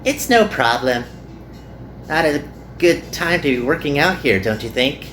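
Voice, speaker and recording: A man plays a young anime girl in a visual novel, pitched well above a normal male speaking voice and swinging freely up and down. She is cheerful and caring, coaxing in a friendly way, with music playing underneath. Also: lively intonation, measured pace, a bit bright, slight background noise. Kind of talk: playful visual novel character reading.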